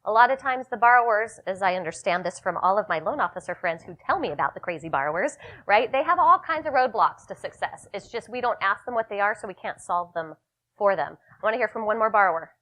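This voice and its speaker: A woman's voice: medium pitch, lively intonation, fast, a bit bright. A woman leading a live workshop for loan officers, upbeat and coaching, calling on people in the room. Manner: upbeat, coaching, calls on participants. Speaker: workshop trainer.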